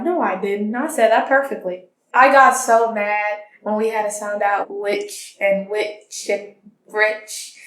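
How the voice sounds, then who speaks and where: A young woman telling personal stories casually and conversationally, as an interviewee. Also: medium pitch. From born in Tanzania, grew up in the United States. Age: young adult. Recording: roomy, echoing interview recording.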